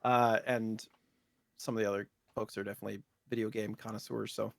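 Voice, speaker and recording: A man's voice: fairly low pitch, level tone, brisk, vocal fry, no echo. A man co-hosting a podcast, chatting casually in a loose, rambling conversational way. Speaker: podcast co-host. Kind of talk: casual chat about retro gaming news.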